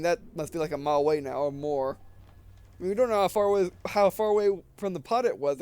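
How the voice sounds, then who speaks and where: A man reading game text aloud and commenting casually as he plays, halting and stumbling over words, with some background noise. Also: a little high, darkish tone, clean tone. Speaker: gameplay video host.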